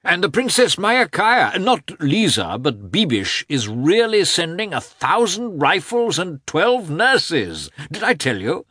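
A man voicing an effusive, cheerful society gentleman in a classic novel, chatty, breezy and full of exclamations. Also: high-pitched, huge pitch swings, slow, hardly any pauses, breathy edge, no room sound, clean studio sound, voice pushed up, exaggerated voice. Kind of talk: audiobook character voice in a classic novel.

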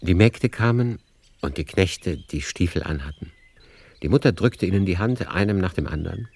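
A man narrating a literary radio play in a measured, lyrical storytelling manner. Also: deep voice, expressive, darkish tone.

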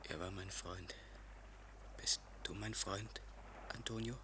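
A man acting in a German radio play as an anxious, guilt-haunted young gentleman, timid and childlike, with a husky voice. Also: deep voice, slow pace, a little hiss or hum, full character voice. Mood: pleading.

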